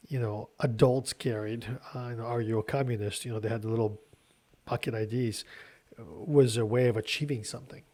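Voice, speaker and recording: A man, a guest speaking in a measured, explanatory way. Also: medium pitch, mellow. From Ukraine. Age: 40s. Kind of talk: casual podcast interview.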